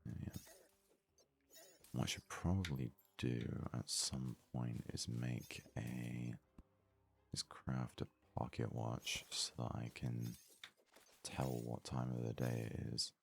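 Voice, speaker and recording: A man giving soft-spoken ASMR game commentary in an unhurried, hesitant way, as if thinking aloud. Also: rumbling low, level tone, creaky. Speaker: gaming video host.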